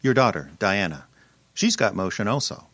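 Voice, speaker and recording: A man playing a wisecracking, streetwise detective's legman in old-time radio style, with a slangy, deadpan delivery. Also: on the low side, normal speed, no room sound, heavily acted. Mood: sardonic. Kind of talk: old-time radio detective acting, first-person narration.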